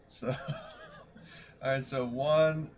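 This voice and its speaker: A man playing a tabletop roleplaying game, chatting in a casual, halting and jokey way. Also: medium pitch, steady tone, a little hiss or hum. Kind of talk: casual joking game chat.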